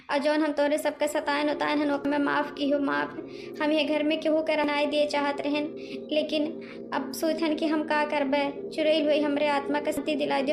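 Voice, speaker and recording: Lady doing a sad witch ghost for a cartoon, high voice, awadhi accent, pleading over and over, pretty flat pitch. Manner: pleading, repetitive. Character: pleading restless female witch ghost, wronged and weary. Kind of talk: amateur Hindi cartoon dialogue.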